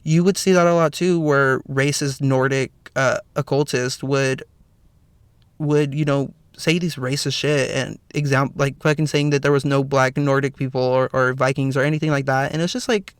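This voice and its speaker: Raspy guy hosting his own podcast, smooth underneath, kinda hoarse, lots of "you know", dead dry sound. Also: mid-range voice, fairly even intonation, moderate pace, no echo. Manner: earnest, frequent "you know" fillers and restarts. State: slightly hoarse, clearing throat. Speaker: podcast host. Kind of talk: solo podcast commentary, partly read from notes.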